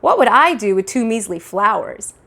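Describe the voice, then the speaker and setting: A woman's voice: on the high side, big pitch swings, normal speed, fairly bright tone. A woman voicing a wisecracking, put-upon schoolboy in a children's storybook. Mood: defensive. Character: wisecracking, put-upon fourth-grade boy. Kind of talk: children's storybook read-aloud.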